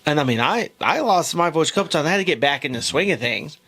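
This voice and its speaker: A man's voice: a little high, lively intonation, fast, bright, forceful tone. A man hosting a casual podcast about music. He rambles, restarts his sentences and swears casually. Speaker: podcast host and band member.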